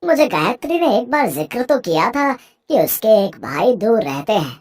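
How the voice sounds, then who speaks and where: An actor voicing a gentle old widowed village father in a cartoon moral story, mild, trusting and fatherly. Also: mid-range voice, sing-song, normal speed. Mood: mild, wistful. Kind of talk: animated Hindi moral story acting.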